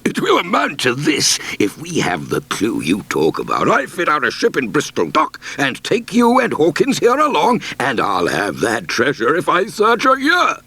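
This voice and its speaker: A man reading a storybook aloud, voicing a pompous, excitable country squire in a raised voice. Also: a little high, normal speed, few pauses, breathy edge, voice pushed up, heavily acted. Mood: blustering. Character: blustering wealthy country squire, adult male.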